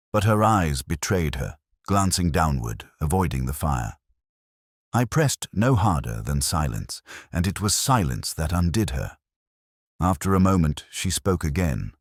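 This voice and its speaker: Very deep, husky male storyteller. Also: no room sound, clean studio sound. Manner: measured, literary, melancholy. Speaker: narrator. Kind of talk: first-person scripted detective story narration.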